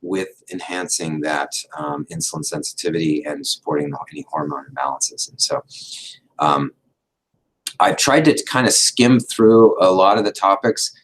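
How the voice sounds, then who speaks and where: A middle-aged man, a doctor hosting a livestream, explaining in an unhurried, casual way with many fillers, his voice a little hoarse as he is losing it. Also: a bass voice, poor audio.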